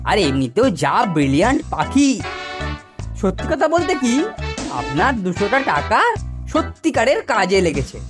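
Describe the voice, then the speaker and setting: A woman voicing a sly, boastful, bossy older schoolboy in a cartoon, with a wheedling way of talking. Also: fairly high pitch. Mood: fawning. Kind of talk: cartoon voice acting.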